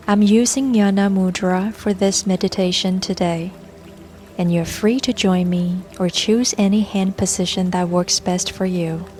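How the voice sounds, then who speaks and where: A woman leading a scripted guided meditation in a gentle, soothing, unhurried manner. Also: medium pitch. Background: soft music and babbling brook sounds.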